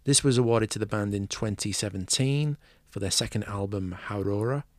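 A man's voice: lowish voice, moderate pace, dry recording, clean studio sound. A man from England with a Manchester accent, hosting a movie podcast and chatting enthusiastically with a guest. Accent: Mancunian English. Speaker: podcast host. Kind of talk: scripted intro then casual interview.

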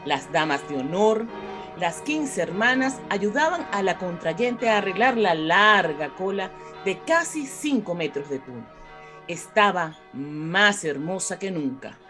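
A woman's voice: on the low side, very animated, measured pace, over music. A woman with a Venezuelan accent narrating a melodramatic radio soap, telling the story dramatically. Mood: storytelling.

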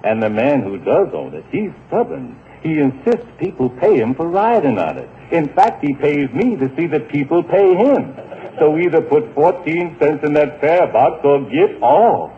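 An American man playing a gruff, sardonic, unbudging middle-aged bus driver, curt and dry, in a 1940s radio comedy. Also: on the high side, very animated, moderate pace. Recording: tinny, noisy 1940s radio broadcast.

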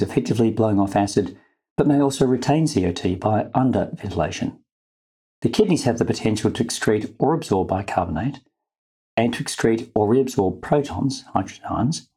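Guy hosting a medical podcast, lowish husky voice, really mellow and dark. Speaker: podcast host. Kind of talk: scripted medical narration and interview talk.

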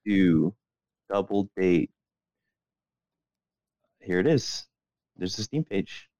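A man's voice: low voice, expressive, drawn-out words, a little mellow, no echo, highs cut off. A young adult man co-hosting a casual podcast, talking in a laid-back, slangy way with some swearing. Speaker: podcast co-host. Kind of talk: casual chat.

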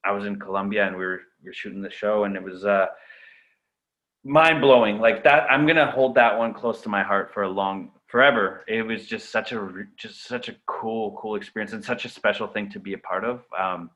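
A Canadian-raised actor whose first language was German, chatting casually in an interview with lots of fillers and restarts. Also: male voice, lowish voice, brisk, dull audio. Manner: relaxed, rambling with many fillers and restarts.

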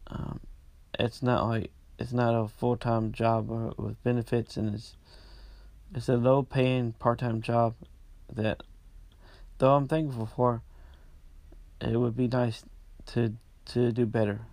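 Man, congested, monotone delivery, dry close sound, halting speech, podcast host. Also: medium pitch, creaky, no echo. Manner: halting, many restarts and fillers. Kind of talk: casual personal update.

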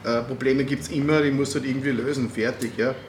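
A middle-aged man with an Austrian accent, interviewing a guest in a hesitant, measured way with many fillers. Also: mid-range voice, steady tone, echoey room, a little hiss or hum. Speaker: interviewer and music booker. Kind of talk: casual interview chat.

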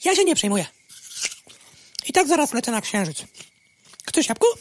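A woman's voice: high voice, very animated, normal speed, dry recording. A woman playing a carefree, starry-eyed moth in an audio drama, airy and naive. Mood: dreamy, serene.

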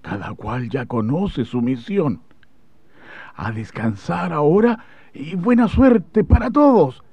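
An actor playing a grave, courteous Chilean naval admiral in a formal, declamatory historical radio drama. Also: male voice, on the high side, expressive, slow pace, vocal fry, breathy edge, no echo, heavily acted. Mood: rousing, solemn. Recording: dull old radio drama recording.

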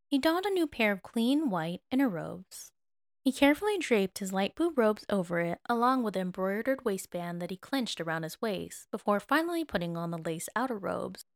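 A woman reading scripted fiction as narrator, in a measured, expressive manner. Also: medium pitch, no room sound, clean studio sound. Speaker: narrator, fan fiction reader.

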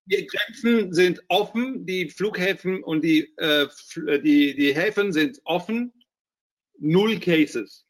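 A man's voice: sits high, animated, slow pace. A man interviewing a guest in a casual way, with informal, joking asides and frequent fillers.

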